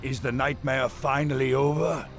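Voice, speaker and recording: A man's voice: mid-range voice, steady tone, normal speed, natural voice. A man playing an arrogant, blustering human military commander in a fantasy game, with a pompous and scornful delivery. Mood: wary, grudging. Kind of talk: scripted fantasy game dialogue.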